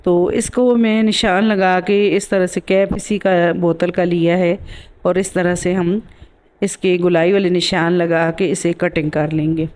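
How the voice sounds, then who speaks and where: A woman's voice: lowish voice, flat delivery, a little slow, darkish tone. A woman with a sore throat giving a casual voice-over for a DIY craft tutorial as the host.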